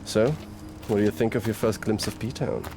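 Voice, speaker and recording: An actor playing a wry, easygoing, protective supernatural detective who teases casually in an audio roleplay. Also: male voice, on the low side, level tone, moderate pace, background noise, natural voice. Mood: teasing, easygoing. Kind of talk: ASMR audio roleplay acting.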